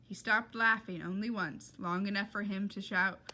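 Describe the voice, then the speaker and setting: A woman reading a children's novel aloud in a dramatic, expressive storytelling style. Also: mid-range voice, normal speed, a little hiss or hum. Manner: dramatic, with emphatic character voices.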